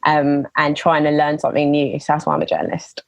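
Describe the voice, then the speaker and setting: A woman's voice: sits low, very animated, fast, vocal fry, dry recording. British woman chatting, close dry sound. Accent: British English. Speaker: podcast guest, journalist. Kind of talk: casual chat.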